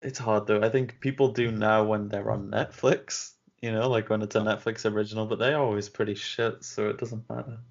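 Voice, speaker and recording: A man's voice: lowish voice, steady tone, a little fast, vocal fry, few pauses. A young man co-hosting a podcast, chatting casually in a blunt, sardonic way. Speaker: podcast co-host. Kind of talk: casual chat. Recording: dull lo-fi sound.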